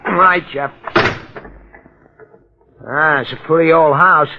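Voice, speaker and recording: An actor playing a folksy, easygoing police sidekick as 1940s radio comic relief, chatty, ungrammatical and mock-pompous. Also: male voice, fairly high pitch, slow. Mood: joking, easygoing. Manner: chatty, colloquial, bad grammar, mock-pompous. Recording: muffled, noisy old radio recording.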